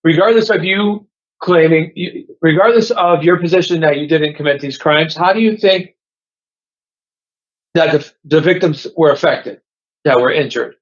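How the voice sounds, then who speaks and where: A man on a parole board, questioning patiently and deliberately. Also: a little high. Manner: measured, patient, asks repeated questions. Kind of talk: formal questioning. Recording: dull lo-fi call audio.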